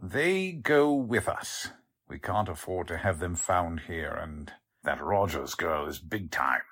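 An actor playing a curt, wary gang boss in a 1940s-style radio crime drama. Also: male voice, low-pitched, lively intonation, slow, fairly bright tone, breathy edge, full character voice. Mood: brisk, commanding. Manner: curt, commanding. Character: male gang boss, commanding, wary crook.

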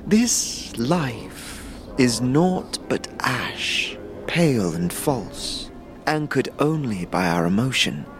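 Man acting a slow, solemn death-cult mourner. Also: medium pitch, sing-song. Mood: solemn, detached. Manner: slow, grave, formal, detached phrasing. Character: solemn Dustman mourner who renounces all emotion. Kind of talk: fantasy audio drama acting. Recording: background music under the voice.

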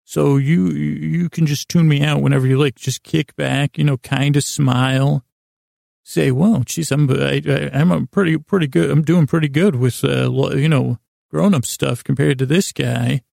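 A man hosting a sleep podcast in a creaky, gravelly voice, rambling haltingly and nonsensically with apologetic, self-deprecating asides, recorded in a small closet. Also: fairly high pitch, fairly even intonation, darkish tone, no room sound, clean studio sound. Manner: halting, drawn-out, meandering delivery with repeated self-interruptions. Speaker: podcast host.

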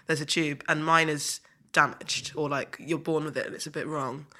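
A nasal speaker, chatting casually as a podcast guest and filling talk with hesitations. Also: male voice, a little high, level tone, normal speed, pushed and bright. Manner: chatty, many fillers and backchannels. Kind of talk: casual chat.

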